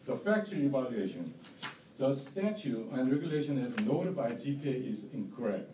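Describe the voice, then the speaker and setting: A man who is not a native English speaker, with a strong accent, giving a formal statement at a hearing in halting, repetitive phrases. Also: mid-range voice, sing-song, mellow. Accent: strong non-native. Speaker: bidder presenting an appeal at a hearing. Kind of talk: formal statement, partly read aloud. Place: indoor hearing room. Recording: roomy, distant mic, faint noise.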